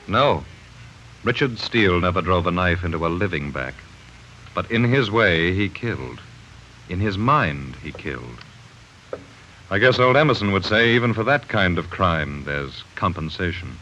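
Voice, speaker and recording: An actor playing a calm, thoughtful doctor who speaks in a measured, grave way, in 1940s radio drama style. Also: male voice, low voice, slight background noise, heavily acted. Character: calm, thoughtful middle-aged male doctor. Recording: muffled 1940s radio broadcast.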